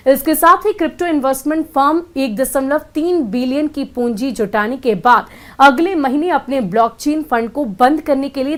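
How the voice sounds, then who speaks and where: A woman with an Indian accent presenting scripted news in a studied bulletin style. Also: sits high.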